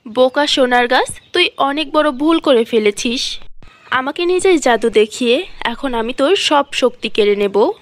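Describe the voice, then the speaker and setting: A woman's voice: high-pitched, lively intonation, moderate pace. A woman voicing a greedy, scheming sorceress with dramatic, calculating delivery in a cartoon fairy tale. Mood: gloating. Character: scheming, greedy female sorceress feigning helplessness.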